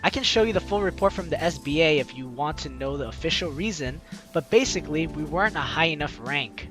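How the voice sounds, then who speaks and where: A man's voice: on the high side, expressive, a little fast, over music. A man hosting a let's play, reading the story aloud in a playful, dramatic way and adding his own commentary.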